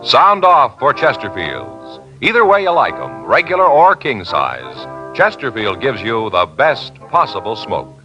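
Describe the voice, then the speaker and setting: A man's voice: medium pitch, wildly swooping pitch, a little slow, hardly any pauses, sharp tone, muffled recording, soft background music. A man announcing and reading an advertisement in an emphatic, punchy way. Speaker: radio announcer.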